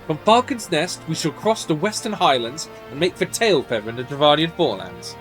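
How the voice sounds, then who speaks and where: A man reading as a stoic, dutiful woman knight commander from a fantasy game, formal and measured. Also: a little high, sing-song, a bit bright, soft background music. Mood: brisk, businesslike.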